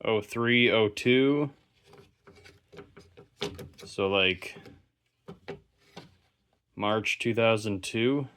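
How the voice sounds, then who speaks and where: A man's voice: lowish voice, extremely slow, pauses often, fairly bright tone, clean tone. A man hosting an electronics video, giving casual, unscripted commentary and rambling as he thinks aloud. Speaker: host of a vintage electronics inspection video.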